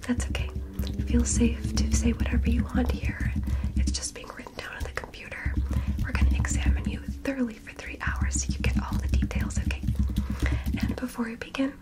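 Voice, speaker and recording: A woman whispering softly through a nurse roleplay, gentle and reassuring, checking for permission and calling the listener darling. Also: a little high. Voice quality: soft whisper. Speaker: ASMR creator playing a nurse. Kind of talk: whispered ASMR medical roleplay.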